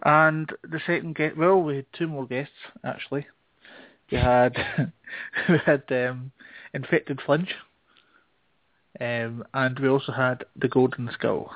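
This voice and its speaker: A man's voice: mid-range voice, steady tone, takes it slowly, no room sound. A man chatting casually on a gaming podcast in a hesitant, rambling way, over a thin phone-like connection. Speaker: show host taking a turn as guest. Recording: thin phone-like internet radio sound.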